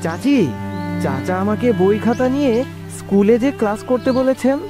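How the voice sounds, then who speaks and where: A man's voice: sits high, unhurried, music in the background. A man voicing a sad, obedient orphaned schoolboy in a children's cartoon, pleading and polite.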